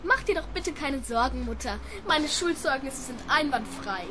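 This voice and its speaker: A woman's voice: high-pitched, lively intonation, moderate pace. A woman playing a precocious, obedient seven-year-old boy in a radio play, earnest and formally polite. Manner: formal, well-mannered, earnest. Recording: noisy scene with background crowd.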